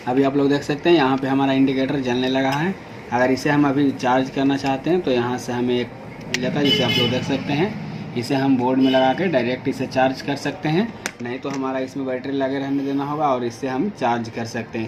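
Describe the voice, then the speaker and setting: Indian man demonstrating, noisy recording. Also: medium pitch. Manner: informal, repetitive step-by-step explaining. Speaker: product reviewer and video host. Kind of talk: unboxing demonstration narration. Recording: clearly noisy amateur recording.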